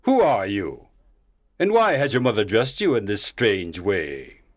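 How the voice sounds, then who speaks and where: A man's voice: fairly low pitch, big pitch swings. A man playing an elderly minister in a dramatized audiobook, with a theatrical delivery. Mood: amazed curiosity. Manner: slow, theatrical, swooping delivery. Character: solemn elderly minister questioning a small child.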